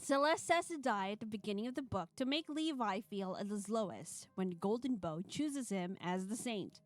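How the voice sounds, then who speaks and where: A woman livestreaming, moving between casual chat and reading a visual novel's narration aloud in an animated way. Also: mid-range voice, moderate pace. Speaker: streamer.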